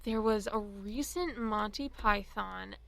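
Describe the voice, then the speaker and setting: Creaky-voiced woman chatting casually. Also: mid-range voice, takes it slowly, fairly bright tone. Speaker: podcast panelist. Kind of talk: casual chat.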